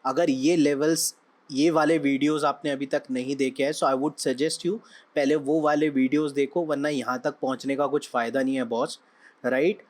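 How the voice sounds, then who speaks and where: A young man, slightly hoarse, teaching a trading lesson in a conversational way, often checking in with his listeners. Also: mid-range voice, steady tone, moderate pace. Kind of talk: explaining lesson with analogies.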